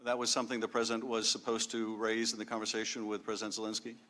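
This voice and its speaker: A man formally questioning a witness at a hearing, phrasing his questions deliberately and haltingly. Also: lowish voice, completely flat delivery, bright, forceful tone. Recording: reverberant hearing room.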